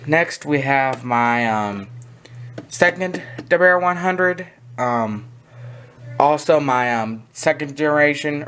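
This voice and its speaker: A hobbyist collector talking through items on camera in a casual, halting way, often trailing off between points. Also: medium pitch, fairly bright tone. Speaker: collector presenting a hobby video. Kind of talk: casual product walkthrough. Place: indoors in a small room at home. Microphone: handheld camera or phone near the speaker.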